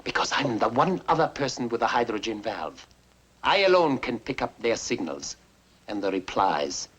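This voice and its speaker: An actor playing a proud, bitter fugitive scientist with a scornful, theatrical manner, in the style of a 1950s film. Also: male voice, medium pitch, very animated, normal speed, a bit bright, breathy edge. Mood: smug, defiant. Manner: theatrical, scornful, self-important. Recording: noisy old 1950s film soundtrack.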